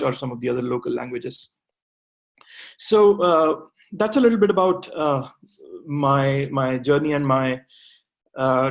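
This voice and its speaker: A man in his thirties talks through a webinar as an MBA graduate. His voice sits a bit higher than most men's, and he often stalls on "uh" and restarts his phrases while he explains. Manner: hesitant, with frequent "uh" fillers and restarts. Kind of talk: webinar talk answering questions.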